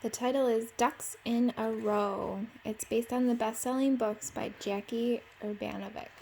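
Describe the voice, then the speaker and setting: A woman's voice: on the high side, measured pace, gravelly, a little hiss or hum, low-quality recording. A woman teacher reading a picture book aloud expressively to children. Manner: expressive, simple phrasing for young children.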